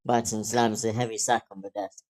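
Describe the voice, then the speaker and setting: Stage-direction reader, male: low, soft-edged dark tone, plain scripted narration for a table read. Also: level tone, fairly quick.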